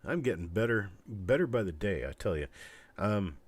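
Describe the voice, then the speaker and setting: A man hosting a casual live online auction stream, chatting in a rambling, halting, folksy way with a scratchy, stuffed-up voice weakened by illness. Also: lowish voice, animated, breathy edge, no echo. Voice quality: congested, stuffed-up nose. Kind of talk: casual livestream chat with viewers.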